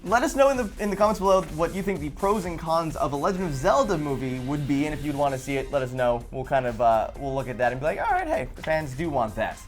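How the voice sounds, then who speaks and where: A woman's voice: low-pitched, fast, few pauses, soft background music. A young woman co-hosting a casual gaming panel show and reading out viewer comments. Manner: lively, reads viewer comments. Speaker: show co-host.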